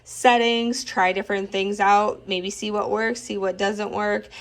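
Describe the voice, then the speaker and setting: A woman's voice: mid-range voice, level tone, measured pace. A woman talking informally and enthusiastically in an unscripted, chatty product review and demonstration.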